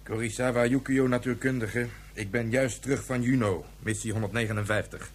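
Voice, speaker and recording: An actor playing a menacing but servile colonel in a radio drama, speaking in a curt, clipped way. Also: male voice, mid-range voice, steady tone, fairly quick, close to an everyday voice.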